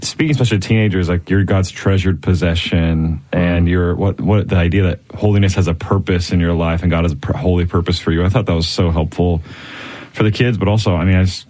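A young male preacher co-hosts a local faith radio show. His voice is very deep and he talks fast, eager and quick to chime in. The sound is close and dry with no room around it. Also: fairly even intonation, darkish tone, dry recording. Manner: quick, enthusiastic, interjecting. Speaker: co-host, preacher. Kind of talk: casual radio chat.

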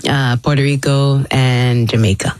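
A woman's voice: extremely low pitch, steady tone, measured pace, no echo. Woman in her 30s, philippines-born, orlando-raised, guest chatting away, dry close mic. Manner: chatty, with fillers and side laughs. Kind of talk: casual interview storytelling.

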